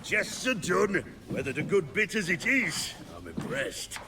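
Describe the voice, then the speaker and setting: A man's voice: high voice, big pitch swings, unhurried, background noise, exaggerated voice. A man voicing a rowdy bandit henchman in a game, declaiming in a jovial, boisterous way. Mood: gruffly respectful. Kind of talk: video game cutscene dialogue.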